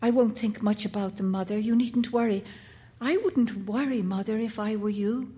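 A woman's voice: a little high, moderate pace, warm tone, natural voice. A woman voicing an overwrought, obsessive young boy in a story, pleading politely and insistently. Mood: insistent reassurance.

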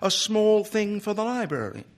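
A man's voice: high voice, big pitch swings, moderate pace, pitched above the performer's own voice. A man voicing a prim, old-fashioned, teetotal woman librarian in a story, prissy and moralizing. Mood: insistent.

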